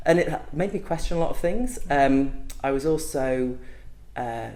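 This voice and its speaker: A woman's voice: rumbling low, expressive, moderate pace, dark tone. A woman guest on a podcast, talking openly and thoughtfully in a casual interview, with frequent ums and restarts. She is not from Wales. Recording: echoey room.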